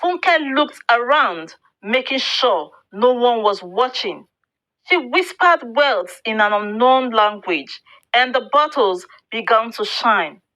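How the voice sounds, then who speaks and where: A woman narrating a scripted folktale in a deliberate, expressive storybook manner. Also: a little high, poor audio.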